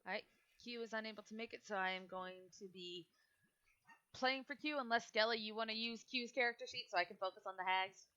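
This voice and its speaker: Woman running a tabletop game, crisp forceful voice, chatty and informal, bit of a dull recording. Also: on the high side, fairly quick. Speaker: game master. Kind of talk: casual tabletop game narration and rules talk.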